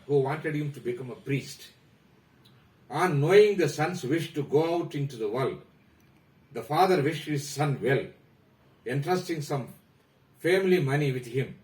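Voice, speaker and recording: A man with an Indian accent, presenting a prepared talk about a novel in a formal, measured way that trails off at the ends of phrases. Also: mid-range voice, echoey room, distant mic, rough recording. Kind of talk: reading a prepared literary talk.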